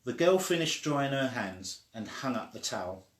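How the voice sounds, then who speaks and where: A man reading a children's story aloud in an expressive way, sometimes stumbling and starting again. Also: medium pitch, moderate pace. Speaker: story reader. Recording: echoey room.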